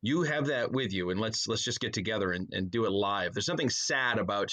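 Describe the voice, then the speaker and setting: A man's voice: lowish voice, animated, brisk, pushed and bright. An American man chatting casually as a podcast guest, with a wry, joking manner. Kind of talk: casual chat.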